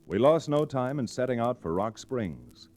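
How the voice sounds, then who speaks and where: An actor playing a level-headed, educated frontier lawyer hero in an old-time radio western, calm and measured. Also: male voice, medium pitch, close to an everyday voice. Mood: wry narration. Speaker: lead actor and in-character narrator. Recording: restored vintage radio drama recording.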